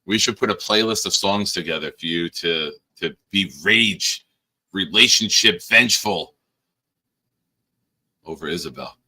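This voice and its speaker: Hoarse, teasing low-voiced man host. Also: a little slow, long pauses. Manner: teasing, bossy banter with sudden loud outbursts. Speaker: podcast host. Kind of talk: casual live-stream chat.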